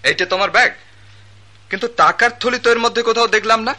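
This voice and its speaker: An actor playing a spoiled, hungover rich young son who is evasive and flustered. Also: male voice, very high voice, fast, bright, forceful tone, heavily acted. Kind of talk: radio drama acting.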